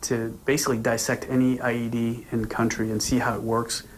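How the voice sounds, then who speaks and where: A man in his forties, born in Vietnam, a naval commander giving a news interview in an earnest, halting way with frequent restarts. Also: mid-range voice, level tone, a little hiss or hum.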